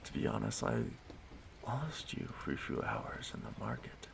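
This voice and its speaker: A man playing an ageless stalker in an audio roleplay, speaking to his prey in a hushed, halting, softly menacing way, often breathy and whispering. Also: lowish voice, huge pitch swings, noisy background, full character voice. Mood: taunting, admiring. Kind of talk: audio roleplay monologue addressed to the listener.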